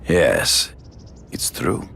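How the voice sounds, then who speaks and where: An actor playing a cold, deadly Russian hunter in a video game, speaking in a measured, formal, ominous rasp. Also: male voice, fairly bright tone, a little hiss or hum. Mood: calm, menacing. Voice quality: very deep gravelly rasp. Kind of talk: video game cutscene acting.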